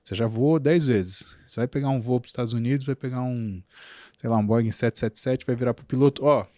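A man with a Brazilian Portuguese accent, a physician talking on a podcast in an animated, emphatic storytelling way. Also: medium pitch, fairly quick, dry recording, studio quality. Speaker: physician guest. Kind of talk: casual podcast interview.

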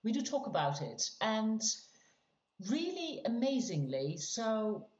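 Woman, podcast guest, emphatic, lively intonation, roomy echo. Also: a little high, takes it slowly. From Netherlands. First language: Dutch. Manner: chatty, emphatic, talks in short bursts. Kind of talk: casual chat. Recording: echoey, muffled room sound.

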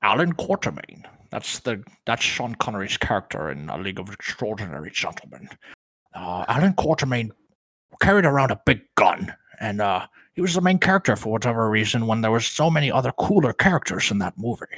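A man's voice: lowish voice, very animated, fairly quick, a bit bright. A young man co-hosting a podcast, easygoing and chatty. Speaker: podcast co-host. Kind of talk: casual podcast chat.

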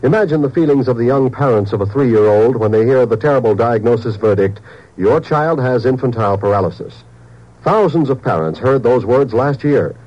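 Husky, emphatic vintage radio announcer, male. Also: medium pitch, lively intonation, normal speed. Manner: earnest, solemn, emphatic. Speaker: announcer for a charity appeal. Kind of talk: 1950s scripted radio appeal. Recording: dull old-time radio recording.

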